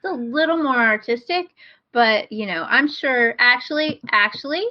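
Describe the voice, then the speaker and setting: A woman's voice: a little high, moderate pace, no room sound, dull audio. A woman co-hosting a podcast in casual, informal, self-deprecating chat. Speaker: podcast co-host.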